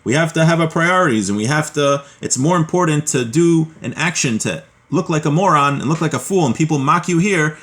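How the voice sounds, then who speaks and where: A man teaching a religious class, reading a text aloud and explaining it as he goes, with halting repeats and restarts. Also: fairly high pitch, expressive, a bit bright.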